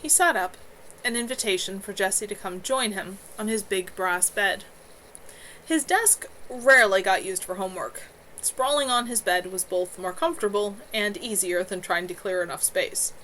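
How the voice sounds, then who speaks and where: A woman, an author, reading her own novel aloud on a live stream from home, in a gentle, unhurried way. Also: mid-range voice, slight background noise, rough recording.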